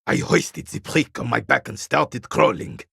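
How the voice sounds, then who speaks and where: An actor playing a proud, bitter old royalist soldier in a video game, stern and disdainful, with a gravelly, raspy voice. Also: male voice, medium pitch, measured pace, sharp tone, no echo, studio quality, heavily acted. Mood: grim recollection. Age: older. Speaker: retired carabinier working as a guard. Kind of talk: video game character dialogue.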